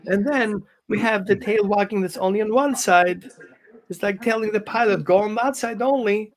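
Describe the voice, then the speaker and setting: A man's voice: sits high, normal speed, rough recording. A man, a dog behavior consultant explaining on a live show with phrasing and quoted examples. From Greece and Austria.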